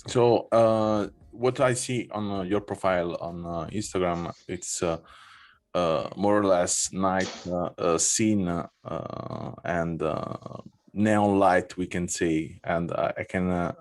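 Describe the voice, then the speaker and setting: A male podcast host interviewing a guest, speaking haltingly with many fillers and non-native phrasing. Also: deep voice, steady tone.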